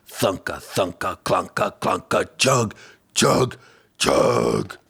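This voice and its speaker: A man's voice: low voice, lively intonation, takes it slowly, creaky, fairly bright tone, breathy edge. A man reading a rhyming children's picture book aloud, playfully stretching and stressing the sound words.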